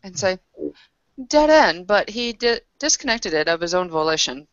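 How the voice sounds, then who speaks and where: A woman's voice: medium pitch, sing-song, moderate pace, a bit bright, no echo, lo-fi sound. A woman playing a tabletop roleplaying game, speaking casually both in character and as herself.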